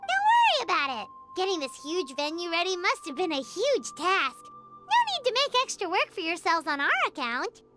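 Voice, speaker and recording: A woman voicing a small, excitable, chatty fairy-like girl companion in a video game, with a perky, childlike manner. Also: very high-pitched, moderate pace, over loud music, full character voice. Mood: cheerful. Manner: perky, refers to self in third person. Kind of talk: video game character acting.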